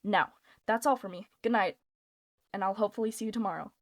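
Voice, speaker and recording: A woman voicing a female radio guide who gives steady, soft-spoken night-shift instructions for an audition. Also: medium pitch, quick pace, no echo, clean studio sound, close to an everyday voice. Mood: instructive. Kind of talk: voice-acting audition read.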